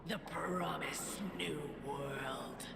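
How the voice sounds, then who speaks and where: A woman voicing a ghostly monster in a video game, the echo of a cold former ruler, speaking in halting, cryptic commands. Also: sits low, steady tone, gravelly, heavy background noise, full character voice. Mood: cold, ominous. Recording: heavy echo, loud game music behind. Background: loud game music.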